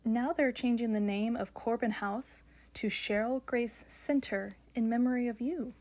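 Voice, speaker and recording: A woman playing an all-knowing, solemn female reaper in an audio mystery drama, with measured, oracular delivery. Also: a little high, a little mellow, close to an everyday voice. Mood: solemn, consoling.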